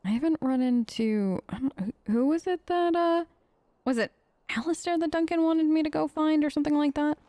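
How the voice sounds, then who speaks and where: A woman's voice: high voice, normal speed, dark tone, no echo. A woman giving casual, wry gaming commentary as a let's play host, chatting in character on a close mic.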